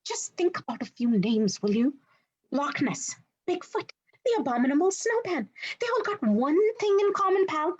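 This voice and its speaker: Podcast sidekick monster, little nervy guy, played by a woman, very high-pitched and animated, sarcastic complainer. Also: normal speed, lo-fi sound. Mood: exasperated. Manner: exasperated, sarcastic, wordy complaining. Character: panicky, sarcastic small monster sidekick, male. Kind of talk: scripted podcast character reading.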